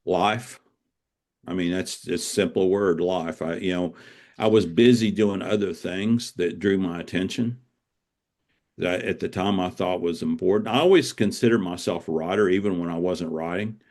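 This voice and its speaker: Older guy with a low voice, author getting interviewed, earnest and reflective. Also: moderate pace, darkish tone.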